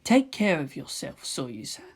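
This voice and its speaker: A woman voicing a serene, courteous teenage boy with a soft, measured, polite manner in a fan fiction reading. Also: deep voice, very animated, slightly rough, voice pushed down, heavily acted. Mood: soft farewell.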